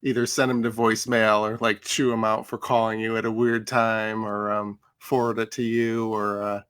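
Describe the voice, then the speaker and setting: A man's voice: on the low side, level tone, normal speed, fairly bright tone, smooth. A man chatting informally as a podcast regular, thinking aloud and telling anecdotes. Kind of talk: casual chat, anecdotes.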